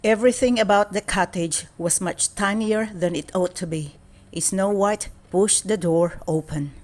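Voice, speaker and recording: Female storyteller for children's read-aloud: mid-pitched, measured, expressive scripted narration.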